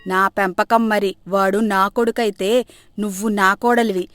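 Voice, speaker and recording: A woman's voice: mid-range voice, fairly even intonation, a little slow, over music, natural voice. A woman voicing a scheming, bossy old mother-in-law in a comic moral story, scolding and exclamatory. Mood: bossy, sly.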